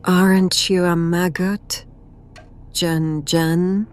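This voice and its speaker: A woman's voice: fairly low pitch, little pitch movement, drawn-out words, a little mellow, smooth, faint music behind. A woman playing a ghostly, deranged mother in a horror audio drama, crooning sweetly and menacingly with eerie whispers. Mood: bitterly accusing.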